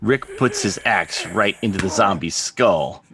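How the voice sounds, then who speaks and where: A man with a husky voice narrating scripted scene directions for a dramatic table read. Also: low voice, unhurried, crowd noise. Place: remote video call read. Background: music under the voice.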